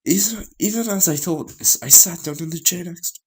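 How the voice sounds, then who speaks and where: A man reading a visual novel story aloud as a gaming host, in a halting way with stumbles and repeated phrases. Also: on the high side, gravelly, husky.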